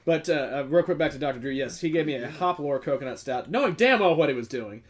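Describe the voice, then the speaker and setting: Higher-pitched man chatting on a podcast. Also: lively intonation, fast talker. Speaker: podcast co-host. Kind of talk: casual comedic beer-review chat.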